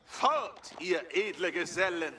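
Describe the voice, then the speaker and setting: Bright, high-pitched medieval knight. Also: male voice, lively intonation, a little slow, full character voice. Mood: commanding. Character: medieval German knight commander, proud, dutiful, rallying his men. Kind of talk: historical strategy game unit voice lines.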